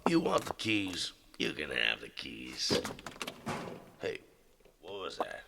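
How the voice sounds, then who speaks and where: A man voicing a gruff, defensive rustic henchman with a folksy drawl and gravelly voice in an audio drama. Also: rumbling low, wildly swooping pitch, slow pace, heavy background noise, voice pushed down, exaggerated voice. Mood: agitated.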